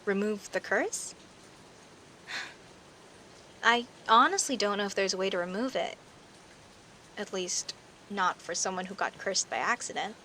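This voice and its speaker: Woman acting a bright, unhurried, teasing werecat neighbor. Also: medium pitch, a little hiss or hum. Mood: relaxed, curious. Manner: playful, conversational, with hesitant pauses and theatrical emphasis. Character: friendly teasing young werecat woman, chatty neighbor telling her story. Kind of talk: audio roleplay, casual one-sided conversation with the listener.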